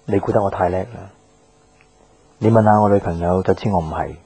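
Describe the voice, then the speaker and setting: Brooding low-voiced young Cantonese man. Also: a little fast, dark tone, breathy edge, heavily acted. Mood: guarded, evasive. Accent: Hong Kong Cantonese. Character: brooding, guarded young office man, romantic lead. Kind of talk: radio drama acting with introspective monologue. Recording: guitar music behind the voice. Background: guitar music.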